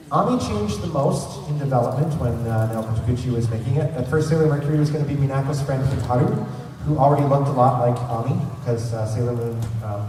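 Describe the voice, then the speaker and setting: A man's voice: mid-range voice, mellow. Man presenting in a very echoey hall. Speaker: fan convention panel host. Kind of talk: casual trivia panel presentation to an audience. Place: large convention centre room. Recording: echoey hall, distant mic, faint noise.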